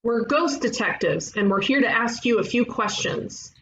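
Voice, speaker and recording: A woman playing a brash, bossy ghost detective in an animated comedy table read, teasing and cocky. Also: fairly high pitch, normal speed, highs cut off. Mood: bossy, accusing. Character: brash, bossy young female ghost detective who loves puns.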